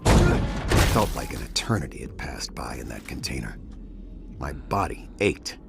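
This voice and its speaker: A brooding American game hero, with a low-pitched voice. He narrates his ordeal with grim, hard-boiled determination while music plays loudly behind him. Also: big pitch swings, a little slow, vocal fry, exaggerated voice. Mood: grim resolve. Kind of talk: scripted video game narration.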